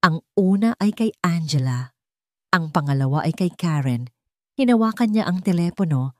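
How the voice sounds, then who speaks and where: A woman's voice: fairly low pitch, expressive, normal speed, mellow, no room sound, studio quality. A woman narrating a scripted drama story in a theatrical storytelling style. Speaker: audiobook narrator.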